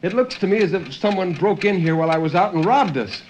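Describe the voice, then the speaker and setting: A man's voice: sits high, lively intonation, fast talker, a little mellow, muffled recording, pitched above the performer's own voice. A man voicing an anxious, evasive wife on the telephone, in a 1950s radio reading. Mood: flustered, upset. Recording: noisy 1950s radio recording.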